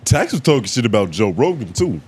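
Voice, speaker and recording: A man's voice: medium pitch, very animated, brisk, a little mellow, husky. An American man in casual podcast chat as a co-host, bluntly questioning and challenging the others.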